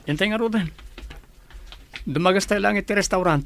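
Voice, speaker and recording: A man's voice: high voice, normal speed, gravelly, fairly bright tone. A man acting a protective young student in a radio drama, with a pleading, placating manner. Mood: friendly, inviting. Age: young adult.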